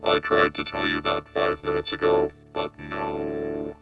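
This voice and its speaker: A man voicing a detached, deadpan male robot through a robotic vocoder filter, gravelly and flat, over loud keyboard music. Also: a little slow, muffled recording.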